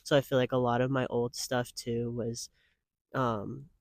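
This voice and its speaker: A man's voice: medium pitch, flat delivery, unhurried, creaky, dry recording, clean studio sound. Earnest rambling man, mid-twenties. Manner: earnest, rambling, heavy use of "like" fillers. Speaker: guest. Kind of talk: casual conversational interview.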